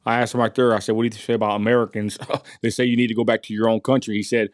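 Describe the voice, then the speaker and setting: A man's voice: fairly low pitch, little pitch movement, fast, no room sound, clean studio sound. A man from West Texas narrating his street interview in a casual voice-over, full of fillers and asides to viewers. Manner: folksy, addresses viewers as y'all, many fillers.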